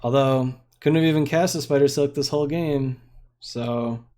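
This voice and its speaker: A young man talks through his card game in a relaxed, rambling way, full of fillers and half-finished thoughts. His voice is mellow and of middling pitch. Faint mouse clicks sit behind him. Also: normal speed. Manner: laid-back, rambling, lots of fillers. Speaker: streamer playing a card game. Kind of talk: casual gameplay commentary.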